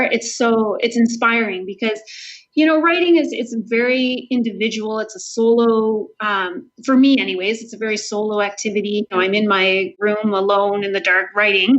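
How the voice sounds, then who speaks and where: A Canadian woman speaks as a guest author in an interview. Her voice sits at an ordinary pitch for a woman. She answers conversationally, with an earnest enthusiasm for her craft. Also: a bit bright, lo-fi sound. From Southern Ontario, Canada.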